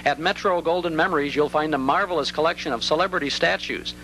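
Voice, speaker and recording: A man reading scripted advertising copy as a commercial announcer in a polished, measured way. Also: fairly high pitch, bright, forceful tone, slight background noise, low-quality recording.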